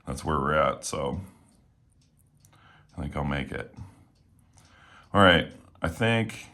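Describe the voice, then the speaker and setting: A man casually talking while taking apart and cleaning a knife at home, a little hoarse today, relaxed and rambling with plenty of fillers. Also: extremely low pitch, takes it slowly. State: slightly hoarse. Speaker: knife reviewer. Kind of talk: casual talk while working. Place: home room with windows.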